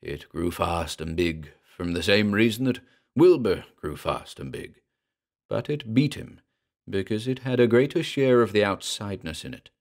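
A man delivering scripted horror drama narration in a grave, measured storytelling manner. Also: lowish voice, lively intonation, creaky. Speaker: narrator and announcer.

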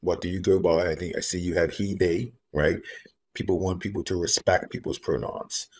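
Deep-voiced man, earnest and measured. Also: fairly even intonation, darkish tone. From Bermuda. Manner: earnest, measured, repeats key phrases for emphasis. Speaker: guest, homeless advocate. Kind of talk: reflective interview talk. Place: room at home. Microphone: video call, laptop camera.

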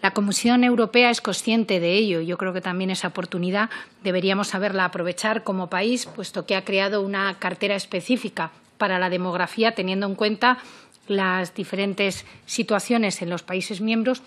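A woman in middle age speaks with a central Spanish accent and a medium-pitched voice. She delivers a formal parliamentary intervention into a desk mic. Her manner is earnest, pausing and restarting as she works through her notes. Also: steady tone, fairly bright tone. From Castilla-La Mancha, Spain. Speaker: member of parliament. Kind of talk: formal committee speech from notes. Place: parliamentary committee room. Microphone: gooseneck desk microphone.

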